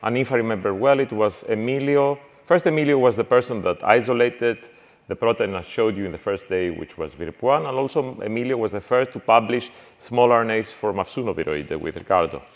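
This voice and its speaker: A middle-aged man giving a university lecture, halting, with frequent fillers and restarts. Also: fairly low pitch. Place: lecture hall. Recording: reverberant lecture hall.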